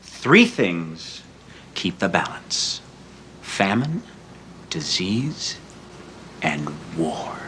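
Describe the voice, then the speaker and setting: An actor playing a cynical man who lectures grimly, declaiming with dramatic pauses. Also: low voice, sing-song, background noise, exaggerated voice. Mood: grim lecturing.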